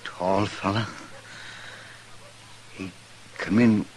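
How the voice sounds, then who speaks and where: An actor playing a badly beaten frontier gambler, weak and halting, in a radio western. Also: male voice, fairly low pitch, fairly even intonation, darkish tone. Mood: pained, dazed. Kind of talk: 1950s western radio drama acting. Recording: noisy old radio broadcast recording.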